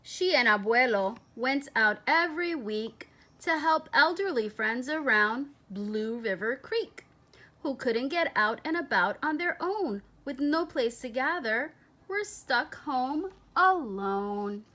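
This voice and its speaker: A woman reading a children's picture book aloud as a storyteller, with expressive, deliberate phrasing and dramatic emphasis. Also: high-pitched.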